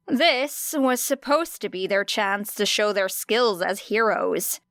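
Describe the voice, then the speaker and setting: A woman reading scripted fiction as an audiobook narrator, expressive, with deliberate emphasis on key phrases. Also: medium pitch, dry recording, studio quality.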